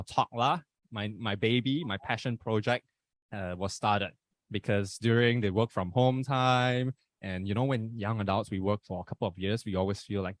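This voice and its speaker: A man's voice: on the low side, no echo. A young man in his twenties from Malaysia with a Malaysian English accent, chatting as a podcast guest in an upbeat, rambling way. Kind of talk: casual podcast chat.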